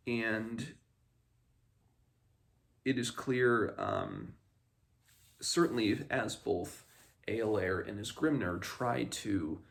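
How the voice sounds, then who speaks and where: A man's voice: on the low side, gravelly. A man hosting a book read-along, explaining in a casual, slightly hesitant and rambling way. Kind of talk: casual book discussion with passages read aloud.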